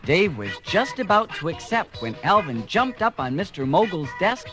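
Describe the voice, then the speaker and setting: A man's voice: high-pitched, sing-song, moderate pace, music in the background. A man narrating a scripted children's story.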